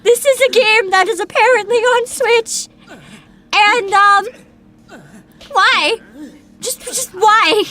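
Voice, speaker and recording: Very high-pitched, bright woman livestreamer. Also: takes it slowly, pauses often. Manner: animated, exclamatory, giggly, swears freely. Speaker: livestream host, VTuber. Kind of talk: casual livestream reaction commentary, chatting with viewers.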